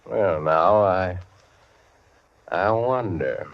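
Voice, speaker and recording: An actor playing a hesitant, contrary, musing gentleman clubman in 1940s radio drama. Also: sits low, very slow, heavily acted. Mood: doubtful. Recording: dull vintage radio recording.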